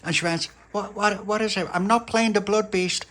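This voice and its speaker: A man with a Liverpool accent voicing an eager little boy who stammers and gushes with excitement. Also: high voice, moderate pace, voice pushed up. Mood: excited.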